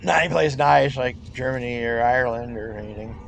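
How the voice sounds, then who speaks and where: A middle-aged man shoots the breeze in a gaming voice chat, his voice rough with vocal fry. He rambles from one thought to the next, laughs often and swears casually. A faint hiss sits under his voice. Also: medium pitch, a little fast, a bit bright. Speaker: gamer in voice chat.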